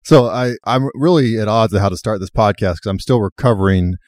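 A man's voice: fairly low pitch, a little fast, a little mellow, no echo. A man co-hosting a church podcast, chatty and self-deprecating. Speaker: podcast co-host.

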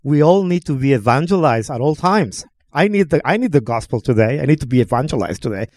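A man's voice: mid-range voice, animated, fast talker, darkish tone. A man from Honduras, a guest on a church podcast who talks reflectively and often checks in with "right?". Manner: reflective, peppers talk with "right?". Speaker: guest speaker. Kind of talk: conversational podcast discussion.

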